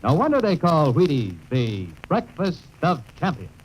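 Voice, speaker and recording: A man's voice: on the high side, huge pitch swings, moderate pace, low-quality recording. A man doing a scripted interview for a 1950s radio advertisement. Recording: old radio broadcast, faint noise.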